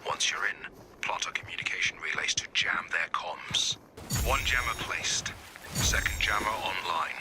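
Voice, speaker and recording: A man voicing a brisk resistance commander in a video game, giving terse, encouraging tactical orders. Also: deep voice, lively intonation, in a whisper. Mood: focused. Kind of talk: video game mission briefing.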